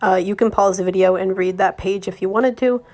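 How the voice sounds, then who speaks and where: A young woman with a slightly raspy voice from a recent cold, chatting casually to viewers as she narrates her decorating vlog. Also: medium pitch, steady tone, a little fast, darkish tone. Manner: chatty, friendly, addresses viewers directly. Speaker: home decor vlogger.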